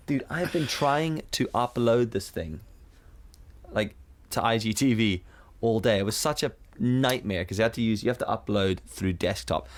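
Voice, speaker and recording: Loud, boomy South African man. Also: measured pace, long pauses. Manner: swears freely, laughs often, self-mocking. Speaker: podcast co-host. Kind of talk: casual chat.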